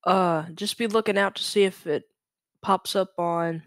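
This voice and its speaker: A young man from Louisiana hosting a casual, unscripted screen-share tutorial, halting and correcting himself as he goes. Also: high voice, fairly even intonation, gravelly, no room sound, studio quality. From Louisiana, United States. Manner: hesitant, halting, self-correcting. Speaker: tutorial host. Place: indoors at a computer. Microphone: USB microphone.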